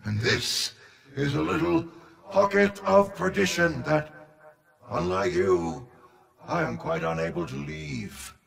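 A man's voice: on the high side, animated, takes it slowly, vocal fry, fairly bright tone, full character voice. A man voicing an eccentric middle-aged scientist in a video game, theatrical and wry as he gives mission orders. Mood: weary, wry. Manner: theatrical, chuckling, wry, addresses players as friends.

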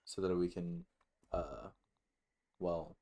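Deep-voiced man, slow gameplay commentary. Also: steady tone, dark tone. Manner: halting, swears casually. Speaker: gaming video host.

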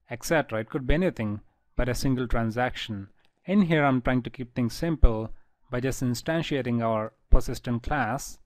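A man teaching a programming tutorial over a screen recording, calmly explaining each step. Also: mid-range voice, no echo. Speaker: programming instructor.

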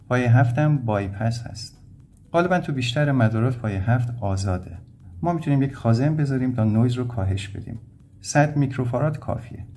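A man's voice: medium pitch, mellow. A man from Iran explaining step by step as an electronics instructor, in a plain, methodical way. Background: background music.

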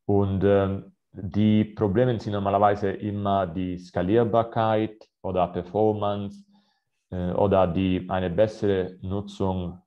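A man's voice: low voice, little pitch movement, slow. A man in his thirties with an Italian accent presenting a webinar in German on a video call, hesitant with many filler sounds. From Italy. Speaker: account manager presenting a webinar. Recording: slightly dull video-call audio.

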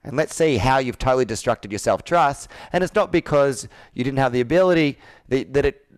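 Male sales coach, 30s, smooth voice, dry close sound, pushes with probing questions. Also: mid-range voice, expressive, brisk, no echo. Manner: assertive, probing, rhetorical questions. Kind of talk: persuasive coaching on a sales call. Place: indoor office with a flip chart, on a video call.

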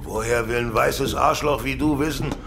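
An actor playing a gruff, sceptical, foul-mouthed security guard in a German horror audio drama, brusque and slangy. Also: male voice, medium pitch, normal speed, over loud music, lightly acted. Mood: irritated, dismissive.

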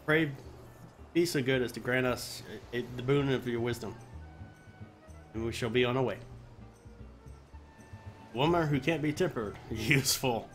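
Halting man streaming a video game. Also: mid-range voice, fairly bright tone. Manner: halting reading, often restarting phrases. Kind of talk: live let's play, reading quest dialogue aloud with stumbles. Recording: music behind the voice. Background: game music.

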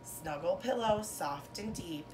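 A woman in her thirties, a teacher reading a children's storybook aloud to pupils with expressive, sing-song phrasing and emphasized words. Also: fairly low pitch, slow pace, music in the background, poor audio. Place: bedroom, indoors. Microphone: camera a couple of metres away.

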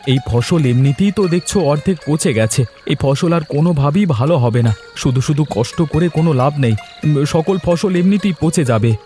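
A man's voice: a little high, lively intonation, moderate pace, warm tone, close to an everyday voice. A man voicing a doubtful, complaining young village husband in a cartoon drama, with music behind him. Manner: protesting, doubtful.

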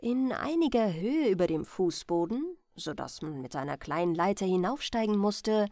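A woman's voice: mid-range voice, expressive, moderate pace, mellow, no echo, studio quality. A woman reading a bedtime fairy tale as a scripted narration.

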